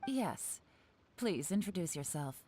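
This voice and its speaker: A woman's voice: lowish voice, very animated, measured pace, warm tone, breathy edge, exaggerated voice. An actress playing a sly, self-assured woman in charge in a video game, composed, teasing and commanding.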